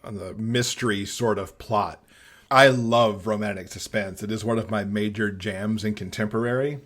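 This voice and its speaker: A man's voice: on the low side, steady tone, normal speed, fairly bright tone. Man in casual book chat. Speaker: podcast guest.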